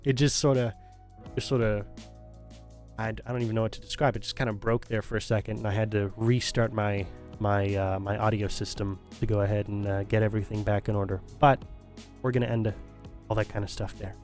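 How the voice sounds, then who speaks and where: A man's voice: on the low side, flat delivery, brisk, a little mellow, soft background music. A male streamer talking casually to his viewers, rambling with many restarts and fillers, his voice strained and still recovering. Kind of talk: casual stream sign-off talk.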